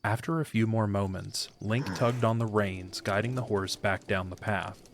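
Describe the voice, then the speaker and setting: Male narrator for audio fiction: lower register, measured literary read, faint ambience behind. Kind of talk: scripted story narration. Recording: faint background ambience noise.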